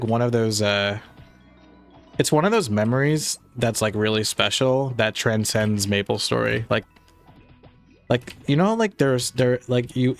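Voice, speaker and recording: A young man casually chatting on a game stream, rambling with lots of fillers, with a slightly nasal voice. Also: on the low side. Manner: rambling, many "like" fillers, laid-back. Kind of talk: casual livestream chat reading chat.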